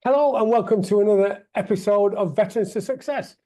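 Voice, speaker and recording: A man's voice: sits high, brisk, dark tone. A man hosting a veterans' podcast, enthusiastic and upbeat, with fillers and self-corrections as he talks. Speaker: podcast host.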